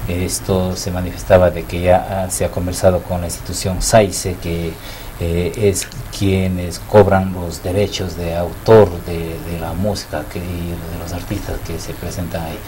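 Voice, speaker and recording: A middle-aged man interviews a guest in a small TV studio, speaking into a desk microphone. His voice is very low, and he asks long, formal questions padded with filler sounds, with a faint hiss underneath. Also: flat delivery, measured pace, warm tone, low-quality recording. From Ecuador. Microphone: desk mic on stand.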